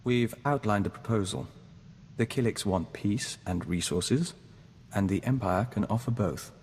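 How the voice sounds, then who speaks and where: A calm male diplomat bonded to a hive, with a low voice and measured, formal delivery. He sounds earnest, like scripted game dialogue, in a long reverb with some background noise.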